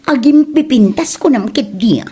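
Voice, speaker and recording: A woman's voice: high voice, lively intonation, moderate pace, warm tone, breathy edge. A woman playing a snide, gossiping female neighbor in a comedic audio drama.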